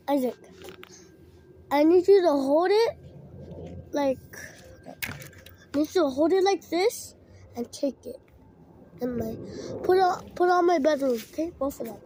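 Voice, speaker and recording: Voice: very high voice, expressive, measured pace, pauses often, warm tone, slight background noise. A child hosting a casual amateur video, talking to camera and companion in a bossy, repetitive way.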